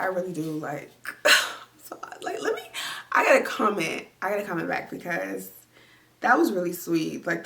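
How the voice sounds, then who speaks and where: A young woman with a stuffy, congested voice and a slightly low pitch talks casually from her home. She rambles with lots of fillers while responding to viewer comments. The recording sounds close, like a phone or camera near her. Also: vocal fry, a bit bright. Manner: rambling, chatty, with many fillers. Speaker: vlogger. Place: at home, lying down.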